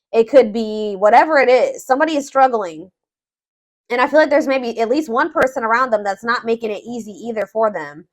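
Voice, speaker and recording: A woman giving a casual tarot love reading, thinking aloud and often repeating and rephrasing herself as she works out each point. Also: a little high. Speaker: tarot reader, host.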